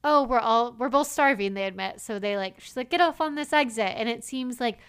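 A woman's voice: fairly high pitch, a little fast, clean tone. Chatty woman recapping a show. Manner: chatty, animated recaps with quoted lines and many "like" fillers. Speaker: podcast co-host. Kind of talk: casual chat.

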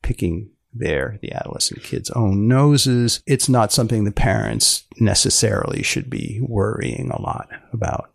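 A man hosting an interview podcast, speaking deliberately with repeated phrases and pauses. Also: lowish voice, creaky, no room sound, studio quality.

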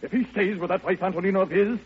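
Blunt, high-voiced, excitable radio man. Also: racing, slightly rough. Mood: grave, urgent. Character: blunt, excitable male acquaintance giving dire warnings. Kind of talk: old-time radio drama acting. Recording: dull old radio recording, faint music.

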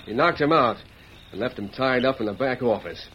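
Actor as a menacing masked western outlaw boss. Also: male voice, mid-range voice, expressive, moderate pace, highs cut off, crowd noise, natural voice. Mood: angry. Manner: menacing, interrogating, curt commands. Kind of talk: old-time radio western acting. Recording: noisy vintage radio recording.

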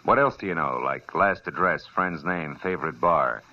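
A man's voice: very deep voice, moderate pace. An actor playing a wisecracking hard-boiled male private eye in dry, wry, laconic 1940s radio style. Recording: dull old radio recording with music.

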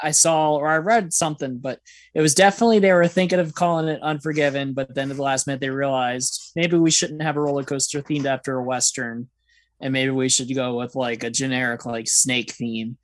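A man's voice: medium pitch, a little fast, no echo. Chatty man sharing trivia. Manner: chatty, hedging with fillers. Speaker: podcast co-host. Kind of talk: casual film podcast chat.

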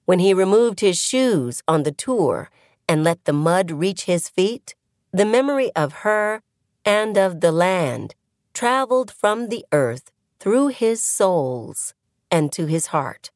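Female audiobook reader, low register, measured phrasing, close dry sound, scripted essay narration. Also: expressive, darkish tone, no echo. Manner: measured, deliberate phrasing with pauses.

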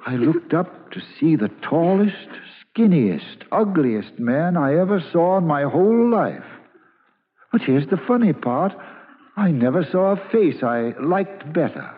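A man's voice: on the high side, lively intonation, a little slow. An actor playing a plain-spoken American man who fondly and wistfully narrates his boyhood in an old-time radio drama. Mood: wistful, amused. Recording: muffled old radio recording with music.

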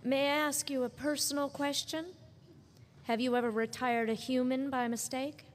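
A woman plays a sultry femme fatale from a 1940s radio noir. The voice sits fairly high, cool and self-possessed, with formal, challenging phrasing. Faint noise sits behind it. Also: steady tone, moderate pace, close to an everyday voice. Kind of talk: 1940s radio drama acting. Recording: noisy live stage radio recording.

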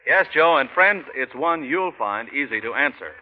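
Lively American radio pitchman, tinny vintage sound. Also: normal speed. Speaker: radio announcer. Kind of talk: 1940s radio advertising pitch.

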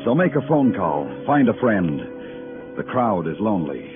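An actor playing a brooding, world-weary police detective in 1950s radio noir style, with a dramatic, lyrical delivery. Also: male voice, fairly low pitch, sing-song, moderate pace. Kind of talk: 1950s radio noir acting and poetic narration. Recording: muffled old radio broadcast with music.

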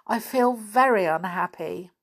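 A woman's voice: mid-range voice, a little slow, husky, voice pushed up. A woman voicing a small, kindly, anxious male pixie in an earnest storybook reading. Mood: troubled. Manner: earnest, fretful storybook delivery.

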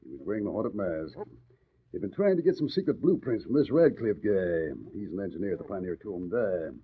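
Slangy hearty cop boss from 40s radio, lowish raspy voice, tinny recording. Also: male voice, lively intonation, brisk. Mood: hearty, blunt. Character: hearty, blunt male police inspector with slangy talk. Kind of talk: 1940s radio acting.

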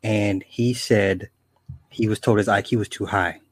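Low-voiced male podcast interviewer. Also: fairly even intonation, unhurried, no room sound. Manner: short prompting questions. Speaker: podcast host.

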